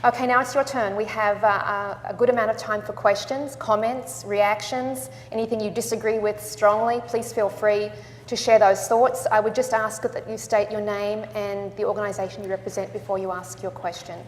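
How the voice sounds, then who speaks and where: A woman moderating a conference panel, measured and inviting as she poses questions. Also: mid-range voice, little pitch movement, a little hiss or hum. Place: conference room. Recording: long hall reverb.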